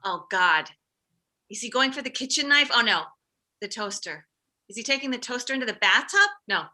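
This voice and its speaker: A woman's voice: fairly high pitch, lively intonation, moderate pace, pushed and bright. A woman playing a fretful, overprotective suburban mother who blurts out her worries in a comedy table read. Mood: alarmed. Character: anxious, overprotective suburban mother of a teenage boy.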